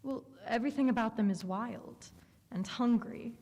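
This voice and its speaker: In an echoing hall, an actress plays a secretive, romantic young woman with a mellow, slightly high voice. Her phrasing is dreamy and sensual, with the intensity of a radio drama temptress. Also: moderate pace. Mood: dreamy, alluring. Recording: echoey hall with long reverb.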